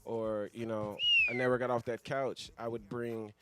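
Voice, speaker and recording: A man chats loosely as a guest on a talk show. His pitch sits in an ordinary middle range. He is blunt and slangy, and he swears freely as he talks. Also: little pitch movement, moderate pace, very noisy. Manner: blunt, heavily profane, slangy. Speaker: guest, avid gamer. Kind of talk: casual talk-show conversation.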